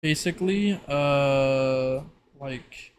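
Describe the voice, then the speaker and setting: A man improvising narration as a tabletop game master in a halting way. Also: mid-range voice. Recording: clearly noisy background.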